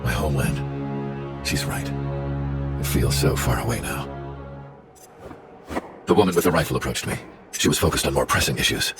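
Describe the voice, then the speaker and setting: Very deep, somber scientist. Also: male voice, expressive, gravelly, exaggerated voice. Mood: resigned. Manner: somber, measured, confessional. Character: weary, guilt-ridden Belkan scientist, a man. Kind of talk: scripted dramatic narration. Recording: loud background music.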